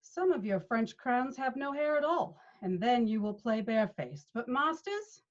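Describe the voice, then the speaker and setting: A woman's voice: a little high, animated, moderate pace, close to an everyday voice. A woman playing a patient male leader of amateur players in a Shakespeare read, instructing expressively over a video call. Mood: coaxing. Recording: dull video-call audio.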